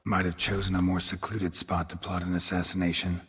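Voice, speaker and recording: Very husky, low-voiced laconic monster hunter. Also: male voice, fairly quick, full character voice. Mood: dry, skeptical. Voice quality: raspy, gravelly. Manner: deadpan, terse. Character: gruff, laconic adult male monster hunter for hire. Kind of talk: dramatic video game dialogue.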